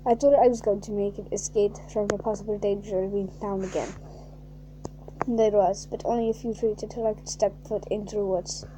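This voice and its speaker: A woman reading a fan fiction aloud in a mocking way, reading flatly and then bursting into shrieking, laughing asides. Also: medium pitch, fairly quick, background noise.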